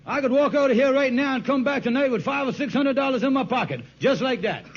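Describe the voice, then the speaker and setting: A man's voice: very high voice, fast talker. An actor playing a scheming, broke, blustering married man who stalls and wheedles in ungrammatical talk on an old radio sitcom. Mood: blustering, indignant. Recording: muffled, noisy old radio recording.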